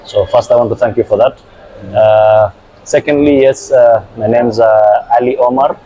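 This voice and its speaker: Middle-aged man from Uganda, warm and dark in tone, club director being interviewed, hesitant and halting. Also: mid-range voice, fairly even intonation, slight background noise, low-quality recording. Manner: hesitant, many filler pauses. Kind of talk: interview answers.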